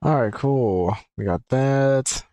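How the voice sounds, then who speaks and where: A male game streamer talks casually as he plays. He rambles and thinks aloud in an average-pitched voice, moving loosely from one thought to the next. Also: slow pace, dark tone, a little hiss or hum. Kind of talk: casual live gaming commentary.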